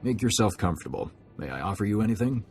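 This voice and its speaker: A man's voice: on the low side, big pitch swings, quick pace, a little mellow. A man playing a formal, officious corporate director in a video game, with a polished manner. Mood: ingratiating. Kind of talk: video game cutscene acting. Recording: music behind the voice.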